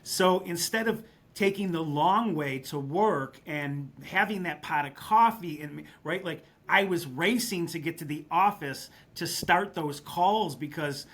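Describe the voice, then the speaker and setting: A man from western New York recounts his early career as a podcast guest in a candid, anecdotal way. His voice sits a little higher than most men's, and he keeps tossing in quick "right?" checks as he talks. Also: a bit bright, slightly rough. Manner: candid, anecdotal storytelling with frequent "right?" asides. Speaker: guest, real estate business leader. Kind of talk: podcast interview storytelling.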